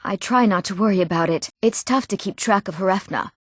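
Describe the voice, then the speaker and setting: A young woman voicing an eager village woman in a fantasy video game, speaking earnestly and clearly in scripted lines. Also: mid-range voice, fairly quick, no room sound, studio quality, close to an everyday voice. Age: young adult.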